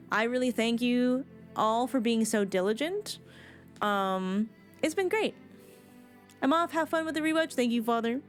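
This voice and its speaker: A woman game livestreamer chatting informally and casually with her viewers. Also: on the high side.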